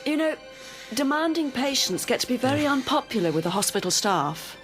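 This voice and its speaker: An actress playing a young hospital nurse who is brisk, playful and persistent with her patient. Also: high-pitched, sing-song. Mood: teasing. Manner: brisk, teasing, coaxing. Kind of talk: radio drama acting. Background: music score.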